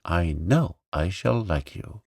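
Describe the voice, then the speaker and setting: A man's voice: deep voice, lively intonation, slow pace, creaky, darkish tone, slightly rough, no echo, clean studio sound, full character voice. A man reading aloud, voicing an impetuous, bossy little girl who talks frankly and eagerly.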